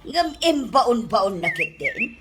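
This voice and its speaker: An actress playing an expressive middle-aged aunt who runs a restaurant, exasperated but fair, in a radio comedy drama. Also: female voice, high-pitched, takes it slowly, noisy background, heavily acted. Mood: explaining.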